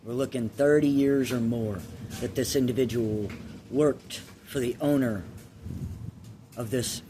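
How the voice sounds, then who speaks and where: A man tells a personal story with a moral in an earnest, confessional way, halting and deliberate between short phrases. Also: mid-range voice, background noise.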